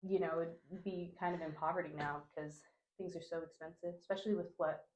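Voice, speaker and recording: Young woman, from Honduras, raised in New Jersey, low-pitched, chatty with fillers, podcast guest, echoey room. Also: fairly even intonation, a little fast, a little mellow, slight background noise. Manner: chatty, many fillers like "like" and "you know". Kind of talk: casual conversational interview.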